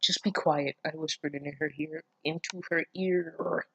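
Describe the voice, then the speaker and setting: A person reading fan fiction aloud casually, breaking off for expressive, incredulous asides full of swearing. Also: mid-range voice, measured pace, slightly rough, low-quality recording. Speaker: online reader reacting to fan fiction. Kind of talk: casual read-aloud with running commentary.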